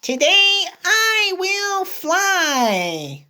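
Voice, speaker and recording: Picture-book piglet girl, acted by a woman: high, bouncy, exclamatory, stubbornly cheerful, swooping pitch. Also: wildly swooping pitch, sharp tone, full character voice. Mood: determined, excited. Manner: exclamatory, drawn-out simple declarations. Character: eager, cheerful young girl pig determined to fly. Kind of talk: children's picture book read-aloud.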